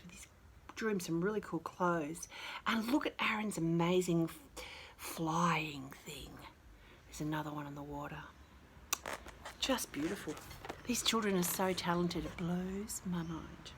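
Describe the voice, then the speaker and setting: A woman reading a picture book to young children with chatty, gushing commentary and expressive, exaggerated emphasis. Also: fairly low pitch, unhurried, slight background noise. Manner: gushing, enthusiastic, talking to small children. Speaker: children's storyteller and host.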